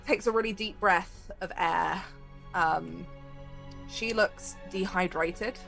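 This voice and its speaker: Low, creaky-voiced woman game master. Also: takes it slowly, a bit bright, soft background music. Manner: descriptive, conversational. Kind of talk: improvised narration of a tabletop game.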